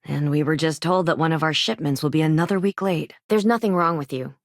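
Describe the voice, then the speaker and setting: An actress playing a principled, caring but firm frontier doctor in a science-fiction video game, speaking in a wry, matter-of-fact way. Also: female voice, deep voice, brisk, no room sound, clean studio sound. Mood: apologetic, measured. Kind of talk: naturalistic video game dialogue acting.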